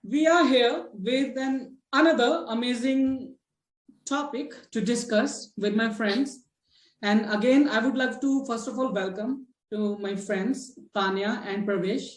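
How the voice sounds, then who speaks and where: A woman's voice: a little high, measured pace, echoey room, low-quality recording. A woman with an Indian English accent, a native Hindi speaker, hosting a casual discussion and talking in a chatty way, with many fillers and restarts and frequent "okay, right?" check-ins. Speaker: host of a spoken English discussion.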